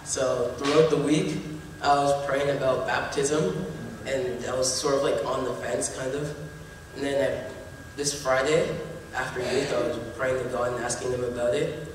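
A young man giving his testimony before baptism, earnest and halting with many fillers. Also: mid-range voice, steady tone, noisy background. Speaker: baptism candidate. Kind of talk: personal testimony. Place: church stage in baptism tank. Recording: echoey hall from far off.

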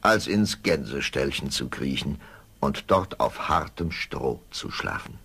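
Deep, gravelly storytelling man. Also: animated, unhurried, over music. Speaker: narrator. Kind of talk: scripted fairy-tale storytelling.

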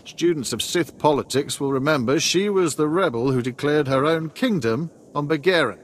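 A man portrays a military major in a game cutscene. His voice sits somewhat high for a man. He briefs formally and precisely, staying composed. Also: moderate pace, a little hiss or hum, lightly acted. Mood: formal, serious. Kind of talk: scripted video game briefing.

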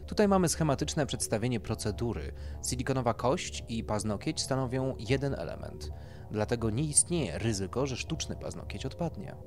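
Guy doing a polite clinical doctor pitching treatments, audiobook story, loud music underneath. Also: big pitch swings, moderate pace, lightly acted. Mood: clinical, tactful. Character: smooth, tactful male doctor selling grisly procedures. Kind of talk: audiobook character dialogue.

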